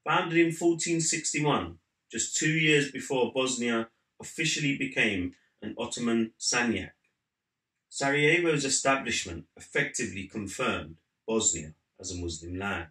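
Male author for a book reading: bright, lowish voice, measured narration, roomy living-room acoustic. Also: animated. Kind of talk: measured literary read-aloud narration. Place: living room at home, seated in an armchair. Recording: slightly roomy indoor sound.

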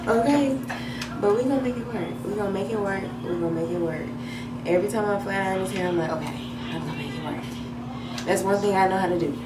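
A woman's voice: mid-range voice, very noisy. Laid-back woman chatting in an echoey bathroom. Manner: chatty, laid-back, slangy, talking while styling her hair. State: a little deeper than usual after a nap. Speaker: vlogger. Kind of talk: casual vlog chat. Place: hotel bathroom, indoors, small. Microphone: camera on the counter at arm's length. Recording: echoey bathroom, mic at a distance.

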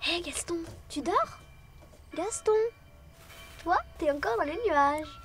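Playful little girl, very high, unhurried, animated, music behind. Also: full character voice. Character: curious young girl.

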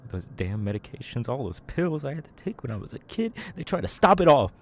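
A man playing a young, unhinged masked serial killer in an ASMR audio roleplay, creaky and halting, muttering with erratic, twitchy menace. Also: medium pitch, sing-song. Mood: agitated. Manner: halting, muttering, sudden tics and hoots.

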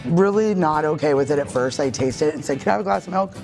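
A middle-aged woman appearing as a guest on a TV studio segment, talking about her cafe in an upbeat, matter-of-fact way. Her voice is gravelly and fairly low, with a lively, swooping pitch. Soft music sits faintly in the background. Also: fast talker. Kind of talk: live studio interview. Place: TV studio set.

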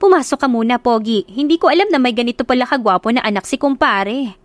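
A fussing, nagging mother of middle age with a raised, scolding voice, as a woman narrator puts her on. Her lines come out high and quick, in a dry, close recording. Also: dry recording, close to an everyday voice.

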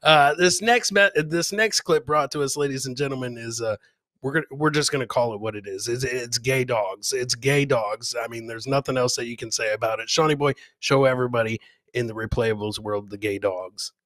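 Bright, middle-aged man hosting a livestream. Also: medium pitch, fairly quick, dry recording. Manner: hype announcer phrasing, addresses viewers directly. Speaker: host of a live clip-reaction show. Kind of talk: casual livestream chat and commentary. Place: indoor home streaming setup. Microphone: close boom microphone with headphones.

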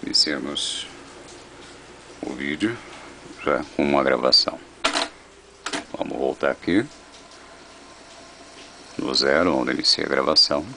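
A man's voice: a bass voice, fairly even intonation, a little slow, vocal fry, pauses often, slight background noise, poor audio. A man presenting a product demonstration as a vintage audio seller, recorded indoors. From Brazil. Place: indoor room. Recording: music playing over the voice. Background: loud guitar music.

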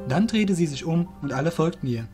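A male narrator tells a fantasy tale in a fairly high voice. His intonation is animated and varied, with faint music playing underneath. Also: fairly quick. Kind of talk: scripted storytelling narration for a fantasy audio drama.

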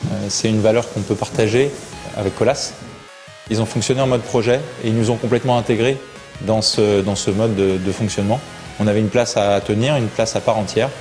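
Low-voiced man, measured and reflective. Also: fairly even intonation, darkish tone. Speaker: client project manager. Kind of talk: documentary interview. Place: site office indoors. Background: background music.

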